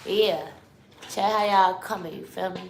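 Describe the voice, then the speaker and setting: A woman's voice: mid-range voice, normal speed, gravelly, reverberant. A young woman from Chicago in her twenties, hosting a live stream in loose, slangy casual chat that keeps turning to the chat. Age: 20s. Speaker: livestream host.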